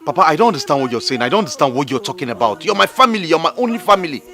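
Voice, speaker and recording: A man's voice: a little high, big pitch swings, racing, bright, forceful tone, faint music behind, exaggerated voice. An actor with a Nigerian accent playing a grown son who defends himself to his parents and sweet-talks a girlfriend. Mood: pleading. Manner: pleading, defensive. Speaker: young son in a family drama. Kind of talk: Nollywood comedy-drama acting.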